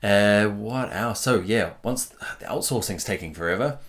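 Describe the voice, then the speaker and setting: Kiwi man, very low deep voice, hushed and rambling, casual web tutorial host. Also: measured pace. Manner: speaks softly, rambling with many fillers. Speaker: web developer. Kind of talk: casual screen-recorded tutorial. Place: home at night while family sleeps. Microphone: computer mic while screen recording.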